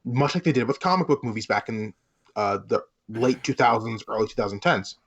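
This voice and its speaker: A man co-hosting a casual discussion podcast, setting up topics in a measured, deliberate way with some casual slang. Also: mid-range voice, lively intonation, breathy edge, lo-fi sound. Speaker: podcast host.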